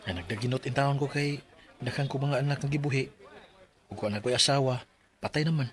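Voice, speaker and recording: A man's voice: medium pitch, fairly quick, natural voice. A man acting a humble, proud widowed father of five in a Bisaya radio drama, speaking in a plaintive, earnest way with a Filipino Bisaya accent. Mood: resigned.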